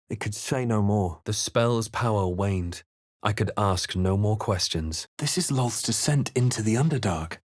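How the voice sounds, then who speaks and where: Measured, low-voiced heroic adventurer. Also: male voice, no echo, clean studio sound. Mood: musing. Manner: measured, dramatic, literary delivery. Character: brave male adventurer hero, solemn and thoughtful. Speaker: player character in a fantasy video game. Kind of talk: scripted game narration and character lines.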